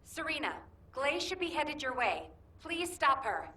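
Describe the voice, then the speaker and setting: Bright, high-voiced young woman mission operator. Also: big pitch swings, a little slow, far from the mic, full character voice. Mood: urgent, official. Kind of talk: video game voice acting.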